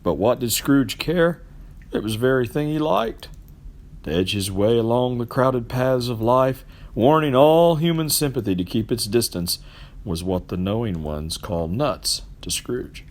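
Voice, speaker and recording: A middle-aged man narrates literary fiction as an expressive storyteller, with emphatic, theatrical and deliberate phrasing. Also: medium pitch. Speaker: storybook reader.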